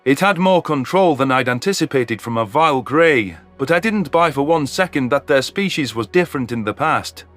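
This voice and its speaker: A man's voice: mid-range voice, fairly bright tone, lightly acted. A man voicing a bitter, hateful alien veteran in a dramatic, vehement telling of a sci-fi story.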